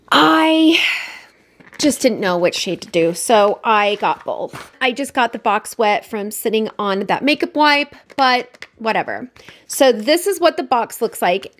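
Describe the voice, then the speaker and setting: A young woman reviewing makeup in a casual, chatty way, her voice nasal and congested from a cold. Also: fairly high pitch. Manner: chatty, upbeat, talks straight to viewers. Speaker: beauty vlogger.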